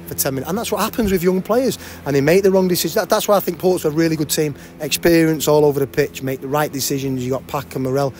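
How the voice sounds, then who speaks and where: A man in his forties, a football manager, giving a post-match interview at a stadium with a handheld mic. He talks fast and often restarts and repeats words. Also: fairly high pitch, a little mellow, a little hiss or hum. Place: outdoors by a cafe banner at a stadium.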